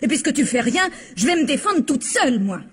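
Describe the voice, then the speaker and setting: An actress playing a vulgar, jealous starlet, sarcastic and vengeful, in a French radio crime drama. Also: female voice, high voice, sing-song, brisk, a bit bright. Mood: scornful, indignant. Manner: sarcastic, cutting, confrontational.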